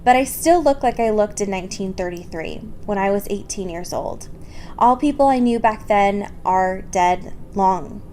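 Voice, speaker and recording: A woman's voice: medium pitch, expressive, normal speed, darkish tone, a little hiss or hum, voice pushed down, lightly acted. A woman reading fan fiction aloud as a reflective, wistful, youthful-looking female vampire.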